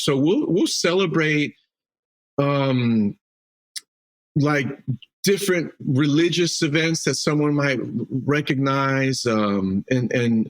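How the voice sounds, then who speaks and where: A man from Mexico, a roofing company CEO guest, talking loosely with frequent fillers and restarts. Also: mid-range voice. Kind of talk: casual interview answers.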